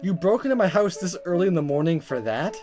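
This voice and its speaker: A woman's voice: lowish voice, animated, fast talker, music in the background. A woman hosting a let's play, reading dialogue aloud dramatically and commenting in a playful, exaggerated way. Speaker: gaming video host.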